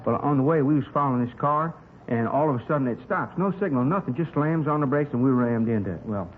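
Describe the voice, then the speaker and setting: A man's voice: mid-range voice, quick pace, close to an everyday voice. A man with an American accent playing a folksy, talkative working-man witness in a 1950s radio drama, rambling earnestly in colloquial speech. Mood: earnest recounting. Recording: tinny, hissy 1950s radio recording.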